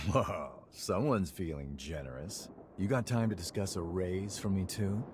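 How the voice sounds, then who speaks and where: A man's voice: deep voice, measured pace, a little mellow, slight background noise. An actor playing an easygoing, wry male hunter in a video game cutscene, speaking in a casual, colloquial way. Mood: wry, teasing.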